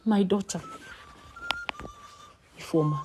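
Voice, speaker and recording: A woman voicing a remorseful old mother-in-law in a storybook tale, pleading in halting, repeated phrases with a cracking, hushed voice. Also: medium pitch, over music, natural voice. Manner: halting, repeating words in apology.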